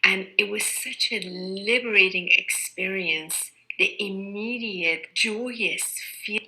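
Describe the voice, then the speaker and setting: A woman reverend slowly and calmly telling the story of her near-death experience. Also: medium pitch, poor audio. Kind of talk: slow personal testimony.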